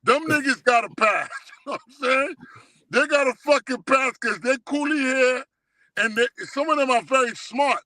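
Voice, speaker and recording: A man's voice: very high voice, sharp tone, dry recording, poor audio. A middle-aged man reminiscing casually in street slang, emphatic and often checking that he is understood. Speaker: guest telling life stories.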